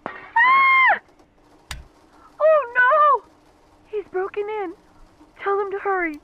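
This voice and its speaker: A woman's voice: very high voice, huge pitch swings, extremely slow, heavily acted. A woman playing a frightened mother phoning for emergency help in a video game. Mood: panicked. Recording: muffled phone call with faint noise.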